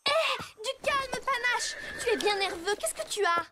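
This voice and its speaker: A woman voicing a spirited, opinionated young girl in a French cartoon, pert and expressive. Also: very high voice, normal speed, heavy background noise. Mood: huffy. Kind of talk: French cartoon dub acting.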